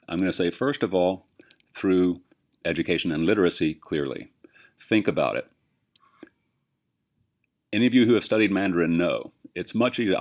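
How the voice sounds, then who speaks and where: A male teacher with a very low voice lectures a class. He talks emphatically and keeps posing rhetorical questions to his students.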